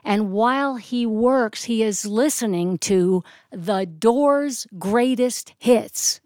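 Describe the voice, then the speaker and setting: A female storyteller delivers a polished live narrative. Her phrasing is deliberate and descriptive, with expressive emphasis and dramatic pauses. The sound is dry, with very little room echo. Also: a little high.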